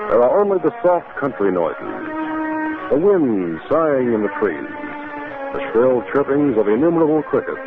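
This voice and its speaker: Male announcer, theatrical 1950s radio storyteller, very animated pitch, music behind, thin narrow-band recording. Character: dramatic male radio announcer narrating a nightmare tale. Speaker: announcer and narrator. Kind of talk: 1950s radio drama scripted narration.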